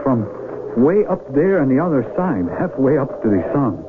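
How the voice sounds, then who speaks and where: An actor playing a proud, bewildered mountaineer lost among strangers in a radio drama. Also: male voice, mid-range voice, big pitch swings, moderate pace, close to an everyday voice. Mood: indignant. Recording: tinny, very noisy old radio broadcast.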